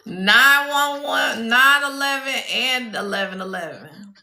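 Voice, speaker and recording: A woman giving a spiritual reading on a casual livestream, blunt and quick to swear as she tells her stories. Her voice sits slightly high and sounds bright and forceful, and she speaks at an unhurried, slow pace. The sound carries a roomy echo. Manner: blunt and profane, storytelling with quotes. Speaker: spiritual reader.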